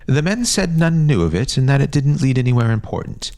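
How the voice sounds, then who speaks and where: A man voicing an excited, coaxing boy who leads his friends on adventures in an audio story. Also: mid-range voice, a little fast, voice pushed up. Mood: eager, wondering. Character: eager, persuasive adventurous boy, ringleader of friends.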